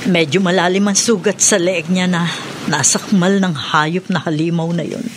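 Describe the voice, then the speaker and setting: A woman's voice: on the low side, fairly even intonation, moderate pace, noisy background, natural voice. A woman acting a resolute, earnest aunt in a horror radio drama, with a Filipino accent. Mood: concerned. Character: resolute aunt leading fight against monsters.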